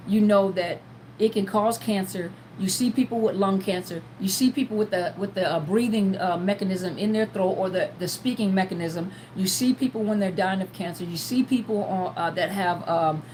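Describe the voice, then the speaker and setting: A woman's voice: mid-range voice, a little mellow, a little hiss or hum, rough recording. A woman talking freely on a livestream as a therapist, with frequent fillers and restarts, sometimes acting out the inner voice of the person she describes. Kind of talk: unscripted livestream talk.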